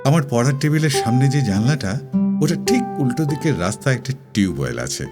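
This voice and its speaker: A man's voice: medium pitch, sing-song, brisk, lightly acted. A man playing a lovestruck, evasive university student in a recited dramatic dialogue, teasing and wistful, with a Kolkata Bengali accent. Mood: flippant, dreamy. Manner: teasing, whimsical, sulky. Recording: studio voice over guitar music. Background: plucked guitar music.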